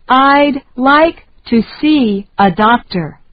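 A woman reading short phrases slowly and deliberately for learners to repeat. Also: high voice, dry recording, highs cut off. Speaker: English lesson reader.

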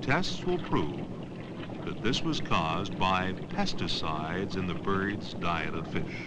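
A man reads scripted educational documentary narration in a measured, declarative way, in the style of around 1970. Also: sits low, expressive. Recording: noisy old educational film soundtrack.

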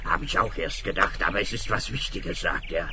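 An actor playing an excitable frog in a children's audio play, croaky and quacking between his words. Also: sits low, fairly quick, noisy background, lightly acted. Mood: eager. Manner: punctuates talk with loud quacking.

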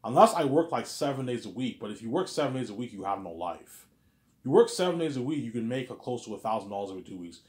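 A man's voice: medium pitch, big pitch swings, fast, roomy sound. A man in his thirties rambling casually in a monologue to his viewers, repeating himself and stumbling over words. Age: 30s. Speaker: vlogger.

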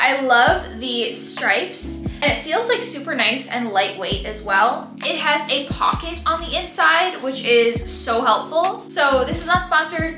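A chatty young woman with a bright, high voice narrates her cleaning day in a casual, upbeat way. She sounds a little distant in an echoey room at home, with music playing under her. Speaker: lifestyle vlogger. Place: rooms of a house, indoors. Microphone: camera on a tripod at a distance.

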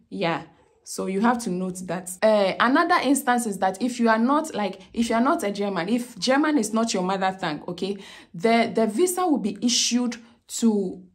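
A woman in her thirties with a Ghanaian accent, explaining visa steps to camera in a casual, repetitive way, often checking in with "okay?". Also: mid-range voice. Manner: repeats "okay?" often, many fillers and restarts. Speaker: vlogger giving visa advice. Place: small indoor room with plain wall.

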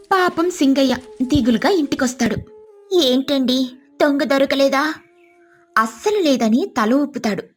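A woman's voice: sits high, breathy edge. A woman storyteller reading scripted narration of a moral tale.

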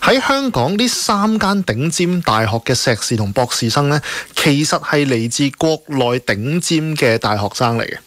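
A man's voice: a little high, big pitch swings, moderate pace, hardly any pauses, fairly bright tone, dry recording. A man with a Hong Kong accent, explaining and arguing points as co-host of a current affairs radio show. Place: radio studio.

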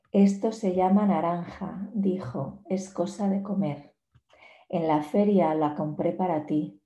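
A woman in her forties reads poetry aloud, slowly and with care, in a fairly low, mellow voice. The sound comes from a laptop on a video call and has the roominess of a small bare room. Her delivery is measured and unhurried. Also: highs cut off. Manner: slow, measured poetry reading. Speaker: poet giving a talk. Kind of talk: reading poems aloud in a talk. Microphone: laptop webcam on a video call. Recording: echoey room.

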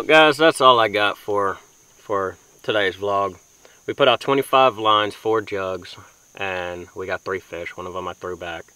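Low-voiced young man vlogging outdoors. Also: pushed and bright, no room sound, low-quality recording. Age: young adult. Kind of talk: casual vlog talk to camera. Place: outdoors in a small boat on a river. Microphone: camera mic at close to mid distance. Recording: faint outdoor ambient noise, insects.

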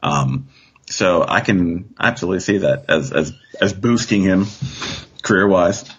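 A man's voice: sits low, a little slow, breathy edge, lo-fi sound. A man chatting casually as a guest, thinking aloud tentatively and often checking for agreement. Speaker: guest author. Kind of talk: casual discussion.

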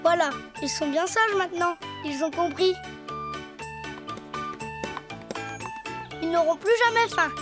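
A performer voicing an eager, tender little boy donkey in a French preschool cartoon, earnest and expressive. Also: extremely high pitch, fairly quick. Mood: content. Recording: music behind the voice.

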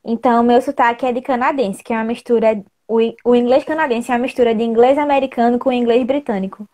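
A woman in her twenties with a northeastern Brazilian accent speaks as a student guest in an online chat. Her voice is a little high, and she explains things casually. She talks into a wired earphone mic at home. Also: steady tone, quick pace, warm tone. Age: 20s. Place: indoor room at home. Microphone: wired earphone mic on a video call.

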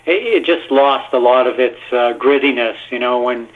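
A man's voice: mid-range voice, normal speed. Man on a tinny phone line. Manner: rambling, filler-heavy storytelling. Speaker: guest actor. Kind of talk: casual phone-in interview.

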